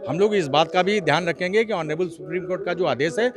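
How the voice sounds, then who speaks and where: A man's voice: high voice, fast talker. A man answering an interviewer as a local activist, making his case insistently and repeating phrases for emphasis. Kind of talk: interview answers, argumentative explanation. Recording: clear crowd noise behind.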